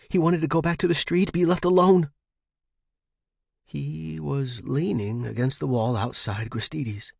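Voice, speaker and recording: A man reading pulp fiction as an expressive, dramatic scripted narration for a podcast. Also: mid-range voice, creaky, slightly rough, dry recording, clean studio sound.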